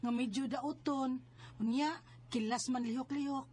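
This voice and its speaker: A woman's voice: sits high, fairly even intonation, measured pace, darkish tone, breathy edge. A woman with a Cebuano Filipino accent playing a protective, scolding married older sister in a radio drama. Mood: gossipy, concerned. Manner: nagging, emphatic. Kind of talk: Bisaya radio drama acting.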